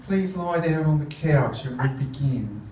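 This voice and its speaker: An actor playing a dry, unhurried, deadpan psychologist in a comedy radio play on stage. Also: male voice, a little high. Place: theatre stage, picked up from afar. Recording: roomy distant stage recording, heavy noise.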